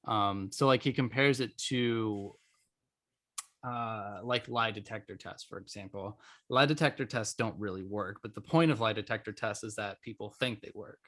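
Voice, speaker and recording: Podcast host, male, lowish voice, casual and informal, loosely phrased conversational delivery. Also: normal speed, a bit bright.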